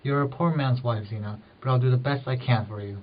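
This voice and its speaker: A man's voice: mid-range voice, fairly quick, natural voice. A man playing a poor, conflicted farmer with rural old-fashioned phrasing in an amateur period drama. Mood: firm, frustrated. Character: poor, conflicted married farmer, secretly in love. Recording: muffled audio, distant microphone.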